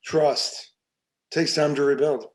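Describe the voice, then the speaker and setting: A man's voice: a little high, steady tone, slow, a little mellow. A man playing a bitter, blunt police detective in his forties in a crime script read. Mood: angry, scolding. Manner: blunt, profane. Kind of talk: screenplay table read, dramatic acting.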